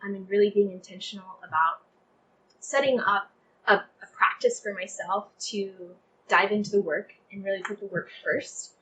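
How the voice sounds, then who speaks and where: A woman's voice: mid-range voice, normal speed, a bit bright, a little hiss or hum, low-quality recording. A young woman chatting casually as an interview guest, speaking in an effusive, emphatic way peppered with "like". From Texas, United States. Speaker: playwright guest.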